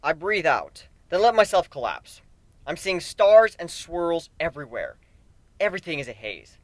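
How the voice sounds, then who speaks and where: A man giving an expressive dramatic reading of a fan fiction story as an amateur narrator. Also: fairly high pitch, normal speed, no room sound.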